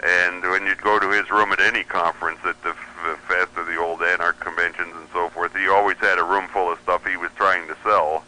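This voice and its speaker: A man's voice: deep voice, level tone, fairly quick, hardly any pauses, sharp tone, low-quality recording. A man speaking as an interview guest, telling casual anecdotes in a wry, rambling way.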